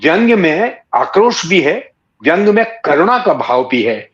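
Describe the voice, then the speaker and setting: A man in his sixties answering in a reflective interview, explaining in literary words and pausing mid-sentence to find the next one. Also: on the high side, sing-song, fairly bright tone, muffled recording. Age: 60s. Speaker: interview guest, satirist and writer.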